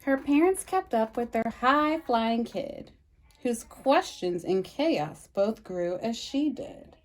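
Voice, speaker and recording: A woman reading a rhyming picture book aloud for storytime, with a lively sing-song delivery and slow, emphatic pauses. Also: on the high side. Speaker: storytime reader.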